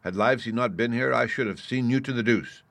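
A man voicing an indignant, haughty country squire in an audiobook, excitable, pompous and blustering. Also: mid-range voice, a little fast, creaky, no room sound, pitched above the performer's own voice. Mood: huffy, grudging. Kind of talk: audiobook character voice.

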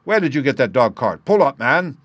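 Man doing a frantic bearded young man, shouting, desperate, loud high bursts, dry sound. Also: fairly quick, no room sound, close to an everyday voice.

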